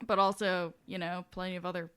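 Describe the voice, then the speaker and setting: A woman's voice: on the low side, level tone, brisk, a crowd in the background. A woman co-hosting a podcast in casual chat, with a giggly, irreverent manner and loose, casual swearing. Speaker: podcast co-host reading listener questions.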